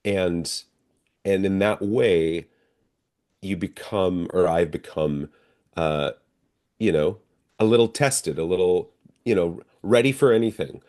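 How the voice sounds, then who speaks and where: A man's voice: low-pitched, slow, pauses often, darkish tone. A middle-aged man, an actor, answering interview questions in a reflective, conversational way. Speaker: stage and screen actor, interview guest.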